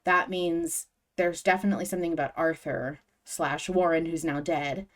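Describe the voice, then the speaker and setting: A woman's voice: sits low, fairly even intonation, moderate pace. A woman hosting a podcast, chatting casually as a fan in an informal discussion. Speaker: podcast host.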